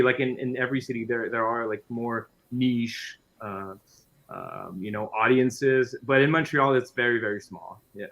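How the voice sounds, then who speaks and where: A man's voice: medium pitch, a bit bright, dull audio. A man with a French Canadian accent, a native French speaker, chatting as a guest musician in a friendly, rambling way. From Quebec, Canada. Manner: friendly, chatty, often restarting phrases. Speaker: guest musician and guitar teacher. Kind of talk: casual interview chat.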